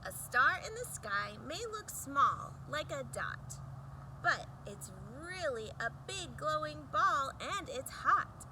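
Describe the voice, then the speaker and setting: A woman reading a rhyming picture book aloud for children in a sing-song, emphatic way with deliberate pauses. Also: sits high, big pitch swings, noisy background.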